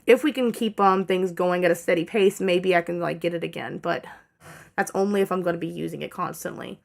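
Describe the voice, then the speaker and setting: A young woman rambling through a casual channel update, full of fillers and self-corrections, her throat dry and strained and her energy low from fatigue. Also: moderate pace, gravelly, a little mellow. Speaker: channel owner giving an update.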